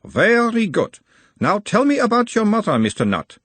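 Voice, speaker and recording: A man's voice: high voice, wildly swooping pitch, moderate pace, fairly bright tone, no echo, pitched above the performer's own voice, heavily acted. A man voicing an insistent analyst persona in an audiobook, formal and clinical. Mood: probing. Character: insistent, probing male analyst persona of a hypnotised creature.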